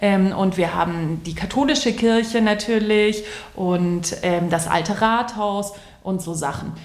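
Measured woman pastor, echoey room. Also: medium pitch. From Bavaria, Germany. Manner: fluent standard German, explaining at length. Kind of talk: interview answers.

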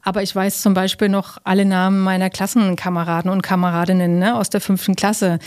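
A woman's voice: medium pitch, flat delivery, a little fast, a little mellow. A woman speaking as an expert guest in an interview, explaining things through everyday examples.

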